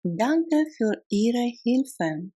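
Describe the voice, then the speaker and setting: A woman language teacher reading out phrases for learners to repeat, enunciating deliberately, word by word. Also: fairly high pitch, sing-song, dark tone, breathy edge. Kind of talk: slow phrase drill with translations, listen and repeat.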